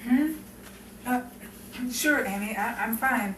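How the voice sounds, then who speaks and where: A woman's voice: fairly high pitch, slow. A woman playing a sensible, stern elder sister who works as a bank clerk in a 1950s crime melodrama, with formal, indignant phrasing. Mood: distracted, evasive. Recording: echoey, distant, noisy room recording.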